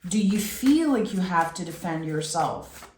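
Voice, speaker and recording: A woman with a raspy voice giving a soft, reflective tarot reading, speaking directly to the listener as host. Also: fairly low pitch, lively intonation, unhurried, roomy sound, very noisy. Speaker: tarot reader and channel host.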